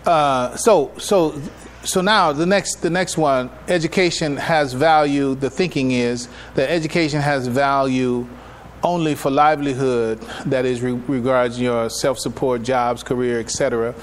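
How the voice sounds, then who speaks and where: A man giving a public religious lecture as an imam, speaking in a halting, deliberate way and often repeating his phrases. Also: mid-range voice, steady tone, slight background noise. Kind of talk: public religious lecture, partly reading from notes. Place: live public address in a room.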